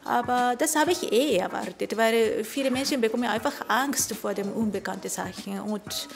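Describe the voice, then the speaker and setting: Creaky Japanese-accented middle-aged woman. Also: on the high side, moderate pace, music in the background. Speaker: café owner. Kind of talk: on-camera interview. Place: indoor café interview. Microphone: handheld interview microphone.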